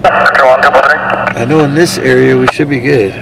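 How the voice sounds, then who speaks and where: Casting: male livestream reporter, heavy vocal fry, slangy and casual, noisy street and car ambience. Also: mid-range voice, fairly even intonation, slow. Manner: slangy, addressing viewers as guys. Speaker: livestream host covering police activity. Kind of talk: casual live commentary to viewers. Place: outdoors at night roadside, then in a car.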